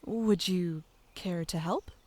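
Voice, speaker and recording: A woman playing a whimsical, sweet but stubborn young druid princess in a fantasy audio drama, gentle and airy. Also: fairly low pitch, slow, no echo. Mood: earnest, gentle.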